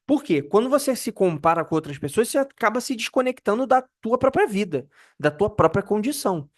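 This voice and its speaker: A man in his early thirties, from Brazil, hosts a casual finance video. His voice sits somewhat high, and his pitch climbs and drops sharply for emphasis. He talks candidly and self-deprecatingly and often stumbles over his phrasing. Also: big pitch swings, fairly quick. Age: 30s. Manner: candid, self-deprecating, repeats himself while searching for words; pitch rises and falls widely for emphasis. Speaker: personal finance video host. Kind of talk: casual monologue to camera.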